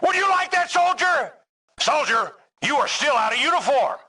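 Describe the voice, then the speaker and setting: An actor playing a furious, bullying army drill sergeant in a video game, barking orders with contempt and sarcasm. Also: male voice, very high voice, big pitch swings, moderate pace, bright, forceful tone, exaggerated voice. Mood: scornful, sarcastic.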